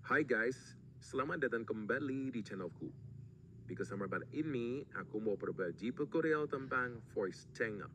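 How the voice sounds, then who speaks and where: A man's voice: mid-range voice, animated, moderate pace. A man's voice altered by an AI voice changer, giving a scripted video greeting. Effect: AI voice-changer conversion. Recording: noisy AI-converted sample clip.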